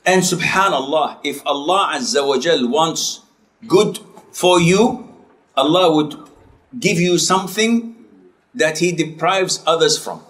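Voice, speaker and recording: A man's voice: a little high, measured pace, a bit bright, poor audio. A middle-aged man giving a conversational classroom lecture, often correcting himself and putting questions to his students. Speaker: Islamic lecturer.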